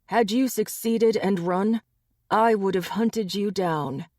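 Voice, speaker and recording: A woman voicing a cold, dangerous adult male shapeshifter enforcer in an audiobook, speaking with low threat. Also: takes it slowly, a little mellow, dry recording, studio quality. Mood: menacing.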